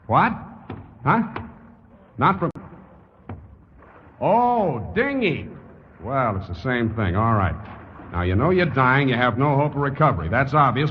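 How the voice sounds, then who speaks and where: Wry tough-guy private eye, sardonic and clipped, old radio drama, dull noisy recording. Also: medium pitch. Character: wry hard-boiled male private detective. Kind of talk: 1940s radio drama acting.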